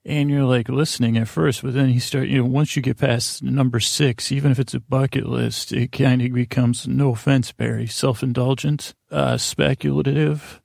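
A man hosting a bedtime podcast in a meandering, halting monologue, often stammering and interrupting himself in a self-deprecating way. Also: mid-range voice, level tone, vocal fry, a little mellow, dry recording, studio quality. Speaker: podcast host.

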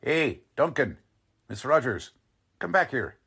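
An actor playing a gruff, bossy police lieutenant with tough-guy slang and brusque wisecracks, in 1940s radio drama style. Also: medium pitch, big pitch swings, slow pace, pushed and bright, exaggerated voice. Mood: commanding. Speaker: police detective character.

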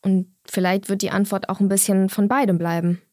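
A young woman speaks as an interview guest in a medium-pitched voice. She answers conversationally but bluntly, with firm opinions, recorded dry with hardly any room around her. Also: little pitch movement, brisk, mellow, no echo.

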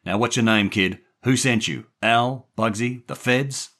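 A man voicing a gruff, short-tempered American gangster who barks slangy threats in a story reading. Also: deep voice, lively intonation, a little slow, a bit bright, voice pushed up, heavily acted. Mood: gruff, threatening.

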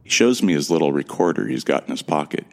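An actor playing a bewildered, introspective amnesiac from Earth, telling his story in the first person in a measured, gravelly voice for an audio drama. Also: extremely low pitch, expressive, full character voice. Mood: introspective, tense.

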